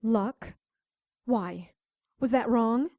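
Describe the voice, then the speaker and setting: A woman playing a frightened young woman in an audio drama, breathy and trembling, pleading not to be left alone. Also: drawn-out words, no echo, clean studio sound, heavily acted. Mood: uncertain. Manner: pleading, clingy. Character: frightened young woman caught in a vampire attack. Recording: loud rumbling background noise.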